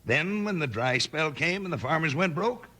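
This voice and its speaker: An actor playing a sly, smug land swindler in a 1950s western radio drama, smooth-talking and scheming. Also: male voice, a little high, lively intonation, normal speed, bright, forceful tone. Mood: scheming, self-satisfied. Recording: faintly noisy old radio recording.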